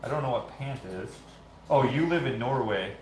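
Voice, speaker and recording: A man's voice: medium pitch, expressive, normal speed. Male streamer in a noisy, echoey shop; casual, rambling, self-correcting. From Canada. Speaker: host of a live build stream. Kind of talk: casual chat while working. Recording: echoey workshop with loud background noise.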